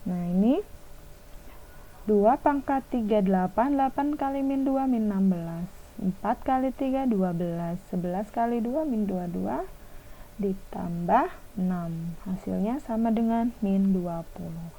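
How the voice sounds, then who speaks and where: A woman's voice: medium pitch, unhurried, mellow, poor audio. A woman teacher talking through a math lesson step by step, asking questions and answering them herself. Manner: patient, asks and answers her own questions.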